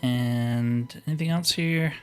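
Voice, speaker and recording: A man's voice: medium pitch, slow, a little mellow, soft background music. A man giving casual, chatty commentary while playing a roleplaying video game.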